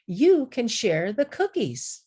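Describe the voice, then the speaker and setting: A woman's voice: on the high side, sing-song, slow, warm tone, breathy edge, heavily acted. A woman voicing a welcoming, generous mother in a sing-song children's storybook style.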